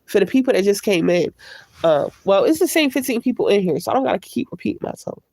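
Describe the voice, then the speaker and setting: A woman's voice: mid-range voice, lively intonation, quick pace, gravelly, a little mellow, dry recording. A woman with a congested, stuffy voice, talking candidly and at length to her livestream viewers through a tablet. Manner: candid, rambling and reflective. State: congested from a cold. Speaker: livestream host. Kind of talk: casual livestream chat.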